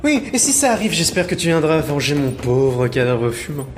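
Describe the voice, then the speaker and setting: A cheeky, irreverent thief played by a man with a lively, swinging intonation. He talks in slangy audio-drama banter, always teasing. The voice rings in a reverberant space over faint ambient noise. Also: a little high, moderate pace. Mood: mocking, playful. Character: cheeky male thief, glib, mocking, irreverent.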